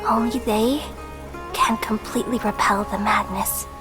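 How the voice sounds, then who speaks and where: A woman playing a serene, benevolent goddess in a video game, telling her story in a solemn, tender, measured way. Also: mid-range voice, steady tone, natural voice. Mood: tender, wistful. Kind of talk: English video game voice acting. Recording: music behind the voice. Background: clear background music.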